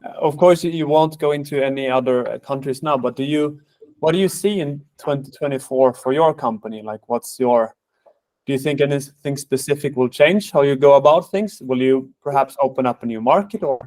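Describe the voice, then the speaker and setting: A man's voice: mid-range voice, brisk, darkish tone, no room sound. A man with a Swedish accent hosting a webinar over a video call, friendly and full of fillers. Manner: friendly, hesitant with many fillers. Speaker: webinar host. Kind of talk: casual webinar chat.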